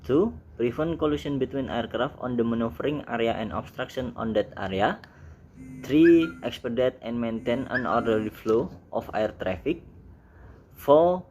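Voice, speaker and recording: A man's voice: mid-range voice, darkish tone, slight background noise. A man with an Indonesian accent giving an informal explainer lesson, reading out definitions and mixing in English technical terms as he addresses viewers as friends. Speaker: air traffic controller, YouTube presenter.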